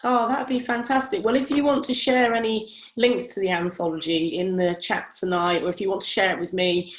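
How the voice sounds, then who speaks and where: A woman's voice: medium pitch, steady tone, a little fast, echoey room, low-quality recording. A woman with family roots in Barbados hosting an online interview, friendly and encouraging, with frequent "um"s. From Britain, family from Barbados. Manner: friendly, encouraging, filler-heavy. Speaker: event host and competition organiser. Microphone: video call.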